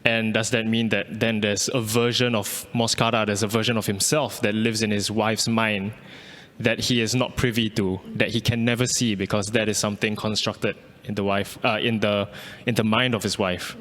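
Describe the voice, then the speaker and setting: A man's voice: on the low side, level tone, normal speed, fairly bright tone. A young man, an artist whose first language is Malay, talking thoughtfully about his work with frequent restarts and fillers. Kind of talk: reflective interview answer. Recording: reverberant hall.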